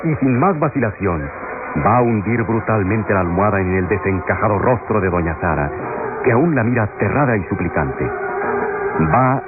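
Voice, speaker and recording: An actor as the solemn narrator of a suspenseful radio melodrama, telling the story gravely and dramatically. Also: male voice, fairly low pitch, expressive, moderate pace, natural voice. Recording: tinny radio sound under loud music.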